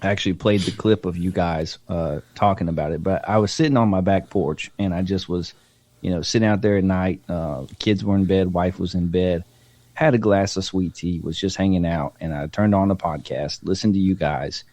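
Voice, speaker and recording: A middle-aged man, a podcast guest, telling stories in relaxed casual chat close to the mic and laughing often. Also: deep voice, moderate pace, dry recording.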